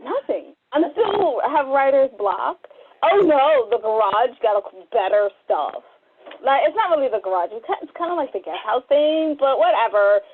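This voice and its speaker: A woman's voice: high-pitched, expressive, moderate pace. A woman co-hosting a podcast with casual, wry, joking banter. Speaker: podcast co-host. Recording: muffled, band-limited lo-fi audio.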